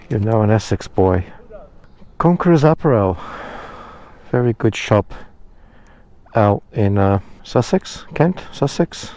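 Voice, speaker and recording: A man's voice: fairly low pitch, mellow. A man chatting casually to camera outdoors at a roadside, rambling and self-deprecating as he talks. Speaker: motorcycle vlogger. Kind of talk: casual vlog talk to camera. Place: outdoors by a roadside phone box. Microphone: head-mounted action camera.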